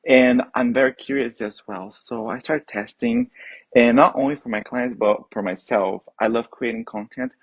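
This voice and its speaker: A man's voice: mid-range voice, lively intonation, moderate pace, dry recording, lo-fi sound. A man from Brazil whose first language is Portuguese, a guest talking eagerly in a casual interview and often restarting his sentences. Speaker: guest, HubSpot trainer.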